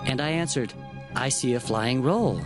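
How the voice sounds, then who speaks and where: A man's voice: mid-range voice, normal speed, lightly acted. A man playing an earnest, awed prophet who recounts his visions reverently and expressively as narrator of dramatized scripture. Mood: awed, wondering. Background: faint music.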